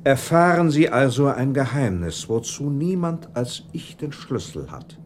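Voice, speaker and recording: An actor playing an earnest, courteous noble prince in a radio play, formal and theatrical. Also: male voice, mid-range voice, lively intonation, unhurried, a little hiss or hum, close to an everyday voice. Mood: grave, longing. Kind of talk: German radio play acting.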